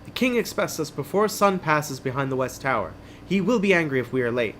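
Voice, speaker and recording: Storybook English knight, played by a male reader, stately and declaiming, pitch lifting, noisy background. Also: lively intonation, brisk, fairly bright tone.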